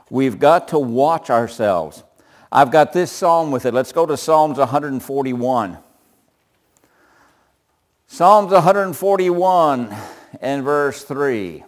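A man with a loud voice leading a group Bible study, often chuckling and putting questions to the group. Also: medium pitch.

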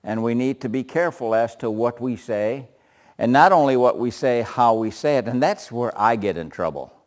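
A man leads a Bible study group in a loud, even-pitched voice. He chuckles often and keeps tossing questions back to the room. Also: normal speed.

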